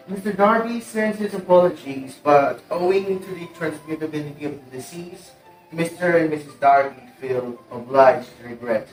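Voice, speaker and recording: A man's voice: medium pitch, reverberant, recorded from a distance, natural voice, poor audio. A man playing a formal, deferential household butler in an amateur stage play. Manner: formal, servile.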